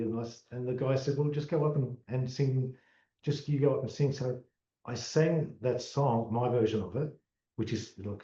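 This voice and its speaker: An Australian male musician talking casually in an interview, relaxed and often restarting his words. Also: medium pitch. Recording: distant, dull, roomy sound.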